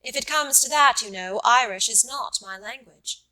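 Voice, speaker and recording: A woman narrator voices an educated Irish gentleman in an audiobook. He sounds polite but awkward and hesitant, always on the defensive. The voice has a bright, sharp edge. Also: on the high side, moderate pace, voice pushed up. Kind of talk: audiobook character dialogue.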